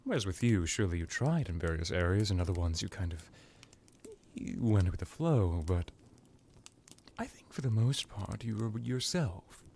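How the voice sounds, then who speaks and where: Man playing a low, gravelly suave vampire lord. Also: expressive, a little mellow, a little hiss or hum. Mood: condescending, amused. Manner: languid, hesitant musing, drawn-out words, drops into whispers. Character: male vampire lord, suave, menacing, condescendingly affectionate. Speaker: character roleplay performer. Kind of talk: ASMR villain roleplay monologue.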